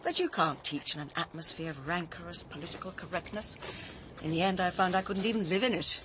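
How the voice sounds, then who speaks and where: An actress playing a reflective, principled former schoolteacher in a radio drama, speaking thoughtfully with an English accent. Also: female voice, lowish voice, fairly quick, natural voice. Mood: wistful. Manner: thoughtful, earnest, articulate. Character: reflective former schoolteacher, principled woman living in coastal retreat. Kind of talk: naturalistic radio drama acting. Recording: clear outdoor ambience noise behind the voice.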